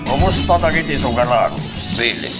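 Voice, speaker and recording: A man's voice: medium pitch, normal speed, over music, close to an everyday voice. A man playing a menacing crime boss in a radio drama, stern and commanding, with a Filipino accent. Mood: businesslike. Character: menacing male crime boss running illegal rackets.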